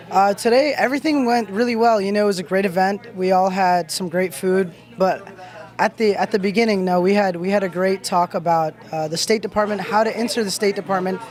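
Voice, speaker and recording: A relaxed young man chatting in an interview, peppering his answers with "you know". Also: high-pitched. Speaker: real estate agent, event attendee.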